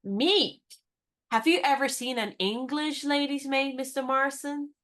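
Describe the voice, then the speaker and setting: An actress in a radio play playing a spirited young woman disguised as a lady's maid, talking in a brisk, teasing, knowing way. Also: sits high, a bit bright.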